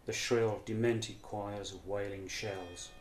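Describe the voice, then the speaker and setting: A man recites verse solemnly in a fairly low voice, taking it very slowly. The room around him is echoey. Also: steady tone. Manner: measured, solemn. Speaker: poetry reader. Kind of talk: poetry recitation. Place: indoors in a shop. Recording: echoey room, faint background noise.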